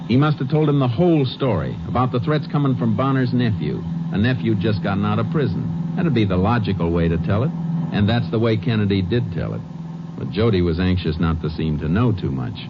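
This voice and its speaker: A shrewd Texas Ranger in an old radio drama, with a low, steady voice. He is terse and matter-of-fact, with quiet authority. The recording is muffled and plainly noisy. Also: a little fast. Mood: reflective, deliberate. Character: calm, shrewd male Texas Ranger investigator. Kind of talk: 1950s radio drama acting.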